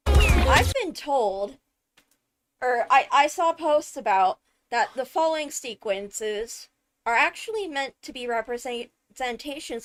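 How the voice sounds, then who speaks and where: A woman giving casual, analytical commentary on a video, animated and emphatic on key words. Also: a little high. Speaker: video host, game lore commentator. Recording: background music behind the voice. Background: music.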